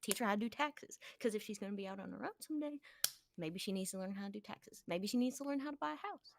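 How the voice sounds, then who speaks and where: A woman's voice: mid-range voice, animated, quick pace, creaky, background noise. A woman from the United States chatting casually and emphatically as a podcast co-host. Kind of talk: casual opinionated chat.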